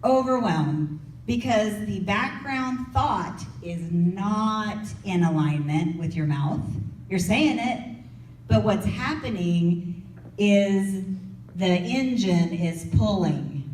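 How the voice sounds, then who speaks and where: A woman giving a motivational goal-setting workshop, lively and playful, acting out inner doubts. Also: medium pitch, slow, slight background noise. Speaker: workshop speaker. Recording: echoey room, voice from a distance.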